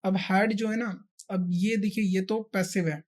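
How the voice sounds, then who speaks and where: A woman's voice: mid-range voice, steady tone, measured pace, darkish tone. A woman with an Indian accent teaching a grammar lesson step by step, repeating points and checking in with short questions. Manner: repetitive step-by-step explaining, frequent check questions. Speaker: exam-prep English grammar teacher. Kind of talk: explanatory lesson delivery, mixing Hindi and English.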